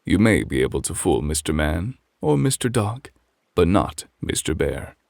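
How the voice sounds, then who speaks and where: A man voicing a grumpy, indignant male bear who scolds in a measured, old-fashioned way in a bedtime story. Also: sits low, very animated, creaky, a little mellow, no room sound, clean studio sound, heavily acted. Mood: stern, scolding.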